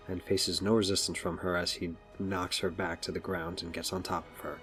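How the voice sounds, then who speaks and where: A man's voice: low voice, fairly bright tone. A man narrating a scripted action story as the narrator of an audio drama.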